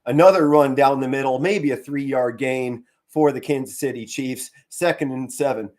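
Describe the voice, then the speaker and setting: A hoarse middle-aged man from Pennsylvania doing casual live play-by-play as a fan, mostly low and subdued with bursts of excitement. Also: normal speed. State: hoarse, straining to save his voice. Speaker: fan commentator on a live stream.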